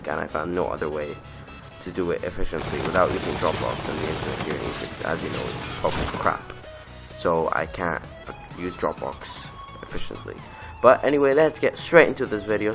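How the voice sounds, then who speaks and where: Low-voiced man with a cold, talking over gameplay at home, loose and self-correcting, music playing behind. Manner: rambling, self-correcting, casual swearing. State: voice rough from a lasting cold. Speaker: gaming channel host. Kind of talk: casual gameplay commentary. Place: bedroom at home.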